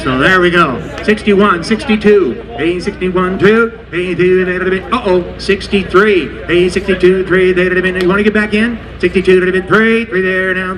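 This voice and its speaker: A man's voice: high voice, a bit bright. A man calling an outdoor farm auction, chanting bids in a rhythmic patter with jovial asides. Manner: rhythmic rapid number chanting, jovial banter. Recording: distant PA voice over crowd.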